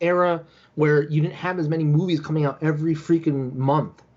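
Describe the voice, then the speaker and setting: A man's voice: fairly high pitch, steady tone, a little fast, crowd noise. A man in a casual podcast discussion, rambling as a panelist, on dull lo-fi audio. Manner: rambling, peppered with "like".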